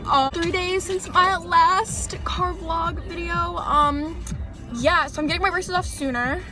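A young woman rambling to a dashboard camera while sitting and driving in her car, chatty and exasperated, getting loud and then going soft. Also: very high-pitched, steady tone, slow pace, over music. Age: young adult. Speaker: vlogger. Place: inside a parked and moving car, daytime. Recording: car with road noise.

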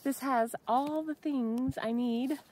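A woman's voice: high voice, fairly even intonation, takes it slowly, darkish tone. An American woman in her thirties chatting casually for an outdoor vlog, in a giggly, upbeat manner. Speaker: vlogger. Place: log hut and snowy woods. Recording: noisy camera audio with ambient sounds.

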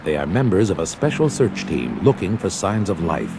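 A man's voice: low-pitched, big pitch swings, moderate pace, background noise. A man narrating an audio drama in a dramatic scripted storytelling style. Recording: old story record with backing sound.

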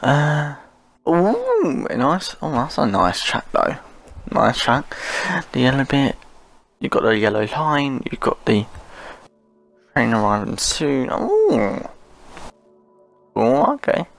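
Gamer chatting over gameplay, kinda slow n croaky, repeats little phrases, bit of music behind. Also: medium pitch, wildly swooping pitch. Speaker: gaming video host. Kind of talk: casual game commentary with rambling asides.

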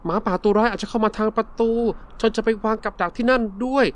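A woman's voice: a little high, fast, natural voice. A woman playing a plucky, defiant little boy goat in a children's cartoon, feisty and determined.

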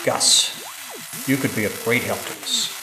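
A man playing a serious government agent in an audio drama, formal, measured and persuasive. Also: mid-range voice, huge pitch swings. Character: serious male government agent recruiting a spy. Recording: echoey room, faint noise.

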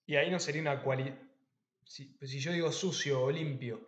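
A man taking part as a student in a call lesson, mostly answering with short, quiet agreements. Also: medium pitch, fairly even intonation, a little fast, roomy sound, dull audio. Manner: brief agreeing replies, soft-spoken. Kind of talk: tutoring lesson over a call.

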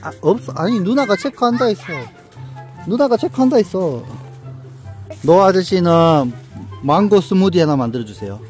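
Playful dad cheering at a playground. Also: male voice, high voice, animated, moderate pace, darkish tone, faint music behind. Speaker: father filming his kids. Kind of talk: casual family vlog chat. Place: outdoor playground. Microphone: handheld action camera.

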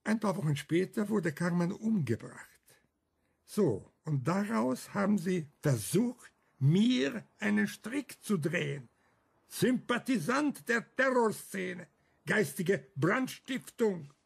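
An embittered older survivor in a radio crime play. His fairly high, creaky voice swings widely as he argues his principles emphatically, with faint music underneath. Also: animated, slow, heavily acted. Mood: grave, bitter, then indignant. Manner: emphatic, argumentative, rhetorical questions. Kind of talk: German radio crime drama acting.

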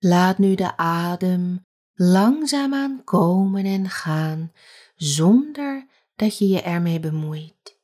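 A woman leading a guided sleep meditation as a yoga teacher, speaking softly and gently with long pauses. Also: lowish voice, expressive.

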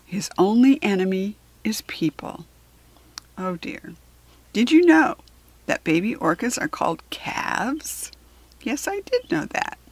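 American woman, children's book reader. Slow, instructive, chatty asides, dry close sound. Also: medium pitch, sing-song, slightly rough, no echo. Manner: deliberate, instructive, simply explaining to a young listener.

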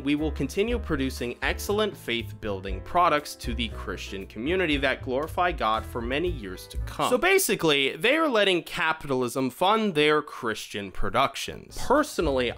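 Young man, lively varied intonation, casual scripted reviewer, wry, close studio mic, background music. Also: mid-range voice. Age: young adult. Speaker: YouTube reviewer. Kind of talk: scripted video review narration. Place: small home room, indoors. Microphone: close studio microphone on boom arm.

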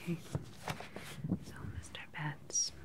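A woman in her thirties whispering gently and methodically in an ASMR roleplay as a calm research doctor questioning a patient, close to the microphone. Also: takes it slowly. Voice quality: breathy, unvoiced whisper.

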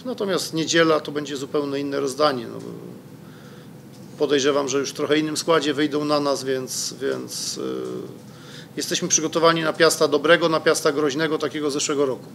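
A man's voice: on the high side, fairly even intonation, fairly quick, vocal fry, a bit bright, slight background noise. A middle-aged man, a football coach, answering reporters' questions at a press conference in a hesitant way, with many fillers and restarts, into a desk microphone. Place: press room in front of a sponsor wall.

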